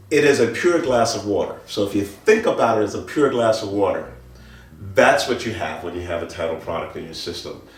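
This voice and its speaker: A man giving an informal product presentation as a dealer, speaking in a deliberate, halting way with repeated phrases. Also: fairly low pitch. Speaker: audio dealer presenting loudspeakers. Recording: roomy, distant mic, faint music behind.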